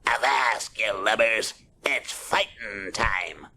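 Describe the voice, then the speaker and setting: A plucky cartoon sailor, mutters salty, mangled grammar in a low voice. He takes his time, scrappy and defiant, over a faintly noisy old recording. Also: slow pace, bright, forceful tone, heavily acted. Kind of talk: children's storybook reading.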